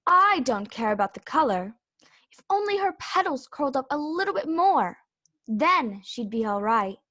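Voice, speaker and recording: A haughty tiger lily acted by a woman bosses everyone around in a children's storybook play. Her voice is high and swings widely in pitch, imperious and scolding. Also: sing-song, moderate pace, pushed and bright, heavily acted. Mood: critical, haughty. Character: bossy, haughty female talking Tiger Lily flower. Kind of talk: children's readers theater read-aloud.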